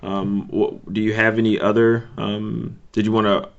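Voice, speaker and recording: A man with a low voice runs a meeting by conference call. He speaks informally and haltingly, working out his points as he goes. The sound is dull and lo-fi. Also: little pitch movement, vocal fry. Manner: halting, hedging, thinking aloud.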